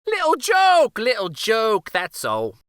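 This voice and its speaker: High-pitched, teasing old uncle. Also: male voice, slow, smooth, no room sound, clean studio sound, heavily acted. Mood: gleeful teasing. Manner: folksy, excitable, repeats himself. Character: teasing old uncle, a bearded jokester doctor. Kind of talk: children's storybook character voice.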